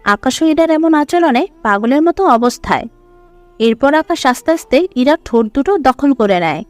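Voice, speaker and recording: A woman's voice: sits high, expressive, a little fast, no echo, faint music behind. A woman narrator reading a scripted dramatic story.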